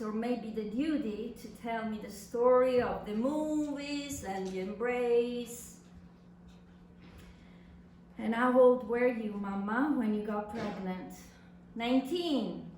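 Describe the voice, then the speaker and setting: A woman's voice: on the high side, a little mellow. A woman performing a dramatic monologue as an anguished young adult daughter addressing her mother, with impassioned, theatrical delivery. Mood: pained, reproachful. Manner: impassioned, deliberate, theatrical delivery with long pauses. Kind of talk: dramatic literary monologue reading on stage. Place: reverberant indoor venue at a live reading. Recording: echoey room, distant mic, faint noise.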